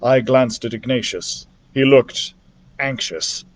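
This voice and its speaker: A man reading a children's adventure novel aloud as a library storyteller, in an expressive narrative style. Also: mid-range voice, slow pace.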